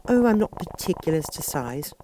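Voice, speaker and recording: A man's voice: fairly high pitch, sing-song, fairly quick, noisy background, pitched above the performer's own voice. A man voicing a polite, confused young girl in a storybook, speaking hesitantly and apologetically. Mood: timid.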